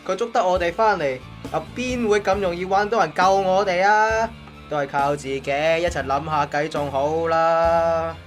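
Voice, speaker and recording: A man's voice: on the high side, moderate pace, natural voice. Casting: man playing sardonic young office assistant, Hong Kong Cantonese accent, radio drama with faint music underneath. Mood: urgent, resigned. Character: blunt, resentful young male office assistant.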